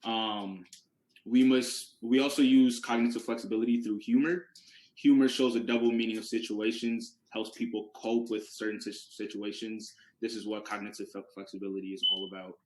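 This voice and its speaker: A man's voice: on the low side, fairly even intonation, moderate pace, a little mellow, echoey room, slight background noise, poor audio. A young man whose first language is Yoruba, giving a casual classroom presentation as a student presenter and talking it through with rhetorical questions. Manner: conversational, restarts words, asks rhetorical questions.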